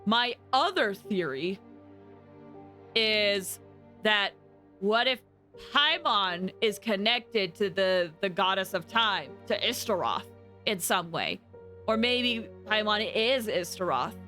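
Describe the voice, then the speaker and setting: Bright, excitable woman streamer. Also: mid-range voice, slow, faint music behind. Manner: animated, rambling, dramatic outbursts. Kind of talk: excited live gameplay commentary and theorizing.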